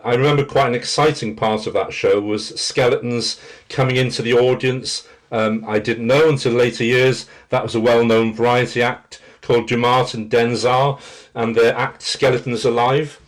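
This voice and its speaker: A man's voice: mid-range voice, fairly even intonation, moderate pace. A middle-aged man with a rich voice, reminiscing as a guest in an interview. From Wales. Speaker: interview guest, theatre practitioner and teacher.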